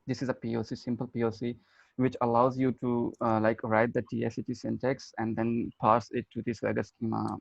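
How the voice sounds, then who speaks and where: A man's voice: medium pitch, creaky, no room sound. A man from Pakistan hosting a casual video call meeting, talking hesitantly with many fillers and restarts. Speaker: meeting host, senior engineer. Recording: muffled lo-fi video call audio.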